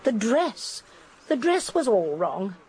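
An actress playing a shrewd, genteel elderly English spinster sleuth in a radio drama, precise and politely firm. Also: female voice, fairly high pitch, sing-song, slow, darkish tone. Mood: precise, insistent. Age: older. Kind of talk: radio drama acting and narration.

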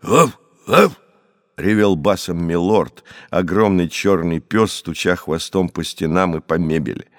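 A man reading literary prose as an audiobook narrator, with expressive storytelling. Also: low voice, unhurried, hardly any pauses, no room sound, clean studio sound.

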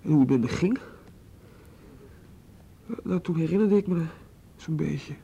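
A man's voice: fairly high pitch, measured pace, vocal fry, mellow, highs cut off, a little hiss or hum. An actor in a radio play voicing a remorseful young male student who stammers through a tearful confession. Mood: wistful. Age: young adult. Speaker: student questioned by police.

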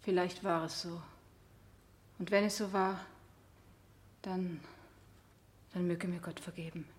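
An actress playing a weary, guilt-ridden wife who testifies hesitantly in a radio play. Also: female voice, on the low side, level tone. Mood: weary, remorseful. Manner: hesitant, halting. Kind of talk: 1970 German radio play acting.

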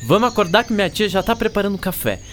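A woman's voice: mid-range voice, sing-song, quick pace, noisy background, natural voice. A woman playing an upbeat, helpful boy in a children's audio drama, talking colloquially. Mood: cheerful. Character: Marquinho, lively, helpful country-loving boy.

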